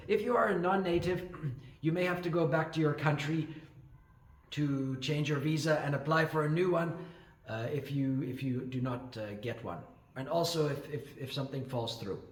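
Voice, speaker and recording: Man, higher-pitched voice, casual advice, rambling with fillers, echoey room. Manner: rambling, with frequent fillers and restarts. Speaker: ESL teacher and channel host. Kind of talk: casual advice talk to camera.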